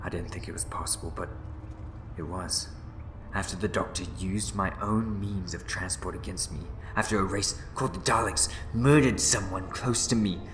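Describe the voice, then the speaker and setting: A man playing a scheming, bitter renegade Time Lord in a sci-fi audio drama, speaking in theatrical, condescending, deliberate speeches. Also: fairly low pitch, fairly bright tone, echoey room, a little hiss or hum, natural voice, low-quality recording.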